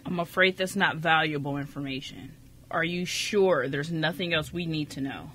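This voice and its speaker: A man playing a skeptical police officer in an amateur radio drama, measured and formal as he questions a suspect. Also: on the high side, sharp tone, a little hiss or hum. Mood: skeptical, procedural.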